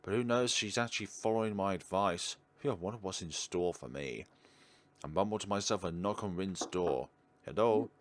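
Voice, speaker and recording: A man's voice: sits low, normal speed. A man doing let's play commentary, reading visual novel text aloud. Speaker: gaming YouTuber. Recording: game music behind the voice. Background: video game music.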